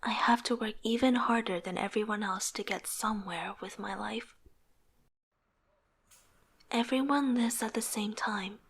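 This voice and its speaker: A young woman playing an earnest, struggling artist in a motion comic, narrating introspectively in a soft, breathy voice. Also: medium pitch, unhurried, long pauses, a little hiss or hum, natural voice. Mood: reflective, weary. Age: young adult. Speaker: narrating lead character. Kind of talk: scripted first-person narration.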